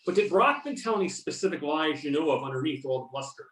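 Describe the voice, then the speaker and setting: Roomy, distant video-call sound carries a man reading a wry federal agent. His voice is a little high for a man. He acts in an easygoing amateur theater style. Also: animated, fast talker, a bit bright. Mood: friendly, probing. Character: wry male FBI agent back in his hometown. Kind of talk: amateur readers theater acting. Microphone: videoconference or conference call.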